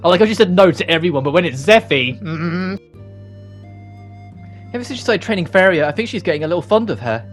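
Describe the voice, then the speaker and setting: A man's voice: sits high, lively intonation, fast talker, long pauses. A man hosting a let's play, reading story dialogue aloud and adding casual commentary. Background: game music.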